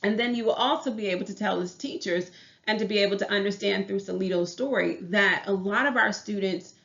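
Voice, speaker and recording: A Southern-accented woman teacher talks through her class presentation in an earnest, restarting way, her voice slightly gravelly at mid pitch. The recording sounds dull and lo-fi. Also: a little fast, a bit bright. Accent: Southern US English. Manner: earnest, repeats and restarts phrases.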